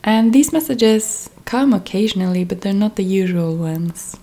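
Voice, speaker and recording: A woman from Norway, a freelance cellist, hosting her own podcast in an earnest, measured monologue that lists her steps one by one. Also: medium pitch, vocal fry, dark tone. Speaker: podcast host. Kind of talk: reflective solo podcast monologue.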